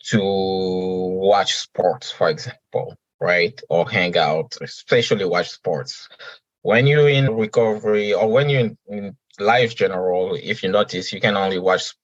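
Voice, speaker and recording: A man from Senegal talking through his story in an unhurried way, pausing and restarting as he goes. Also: deep voice, dry recording, lo-fi sound. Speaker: podcast host and guest. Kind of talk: conversational interview answers.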